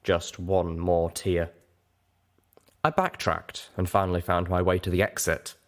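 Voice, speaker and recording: Male audiobook narrator, low register, dramatic first-person delivery with expressive phrasing. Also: unhurried.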